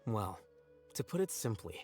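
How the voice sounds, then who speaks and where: A calm scholarly young man in a game, kinda low, unemotional explainer, soft music behind. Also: moderate pace. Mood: lecturing. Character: composed, scholarly young man, coolly knowledgeable. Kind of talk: video game voice acting.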